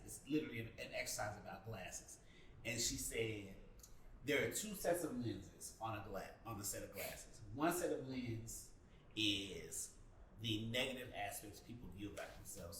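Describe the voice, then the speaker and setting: A man's voice: lowish voice, sharp tone. Guy giving real talk advice in a group chat, sassy and emphatic, echoey room w bit of noise. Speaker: panelist. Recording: roomy, distant mic, faint background noise.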